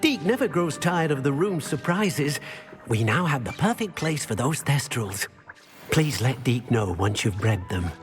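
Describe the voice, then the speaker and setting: Game house-elf, played by a man: old, polite, eager to help, mid-pitched with big melodic swings, clear music underneath. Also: animated, measured pace, close to an everyday voice. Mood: pleased, helpful. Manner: refers to himself in third person, hesitant. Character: elderly male house-elf, earnest, courteous, devoted to creatures. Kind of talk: video game character dialogue.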